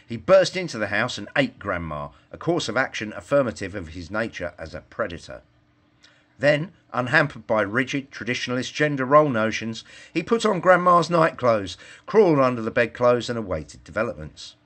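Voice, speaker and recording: A man reading a scripted satirical fairytale as storyteller, in an expressive storybook manner. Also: medium pitch, moderate pace.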